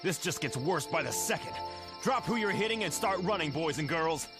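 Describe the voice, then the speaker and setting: A man's voice: fairly high pitch, lively intonation, normal speed, lightly acted. An actor playing a young male team leader who gives firm, clipped orders. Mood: commanding. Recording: music score behind.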